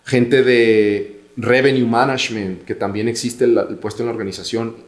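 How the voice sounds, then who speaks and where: A man in his forties with a Mexican accent, giving career advice as an interview guest in a measured, explanatory way. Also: mid-range voice, steady tone, reverberant. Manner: measured, explanatory, repeats phrases for emphasis.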